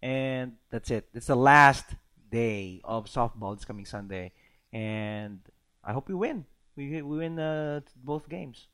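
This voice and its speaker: A man's voice: medium pitch, takes it slowly, a bit bright. A man co-hosting a casual podcast, laughing often and rambling through restarts. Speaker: podcast co-host. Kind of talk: casual chat.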